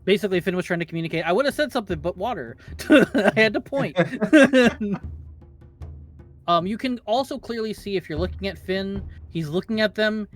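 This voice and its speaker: Man playing a tabletop game, a bit hesitant. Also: high voice. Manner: hesitant, with fillers and laughs. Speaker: player. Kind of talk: casual tabletop chat.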